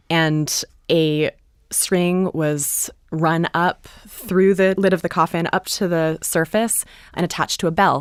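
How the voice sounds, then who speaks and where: Lowish-voiced woman, dry close sound. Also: dry recording. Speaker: playwright. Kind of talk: casual explanatory discussion.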